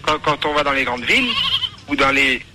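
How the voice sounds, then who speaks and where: A man with a rural western French accent calling a radio show, speaking in a halting, plain-spoken way. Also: mid-range voice, lively intonation, heavy background noise. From countryside near Nantes, France. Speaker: phone-in caller. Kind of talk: spontaneous phone conversation.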